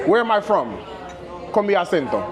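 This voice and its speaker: A high, animated man jokes around with competitive banter, his voice swinging up and down as he tries out his Spanish. He is in a busy restaurant, with crowd chatter and music over an echoey room. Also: moderate pace. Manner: joking, competitive, switching between English and broken Spanish. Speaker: food vlog host. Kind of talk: playful casual chat.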